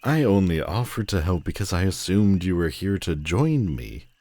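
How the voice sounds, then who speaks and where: A man's voice: low voice, very animated, normal speed, darkish tone, no echo, exaggerated voice. A man playing a telepathic supervillain in a roleplay audio drama, commanding and wry yet protective, speaking intimately straight to the listener. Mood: exasperated, scornful.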